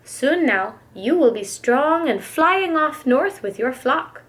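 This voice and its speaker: A kindly, elderly Russian babushka from a picture book, acted out by a woman reader. She speaks in a fairly high voice, fondly and with open exclamation, as if to a small beloved pet. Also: expressive, takes it slowly, fairly bright tone, heavily acted. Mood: gladly tender. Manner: affectionate, exclamatory, sprinkles in Russian words. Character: kind, tender old Russian grandmother tending a goose.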